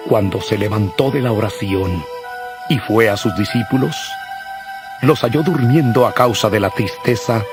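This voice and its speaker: A man narrating scripture from a script in a solemn, measured manner. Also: fairly low pitch. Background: music.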